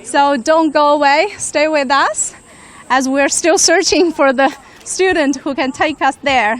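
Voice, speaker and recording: High-voiced woman presenting live, unhurried. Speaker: live-stream host, reporter. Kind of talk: live walk-and-talk presenting, casual chat. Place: outdoors on a university campus. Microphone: handheld microphone.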